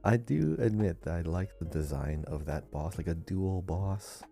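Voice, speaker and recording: Guy w really dark soft low voice, chatting on a gaming podcast, faint music behind. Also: lively intonation, moderate pace, vocal fry. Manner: reads out listener messages with chatty asides. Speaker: podcast co-host. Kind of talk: casual gaming podcast chat.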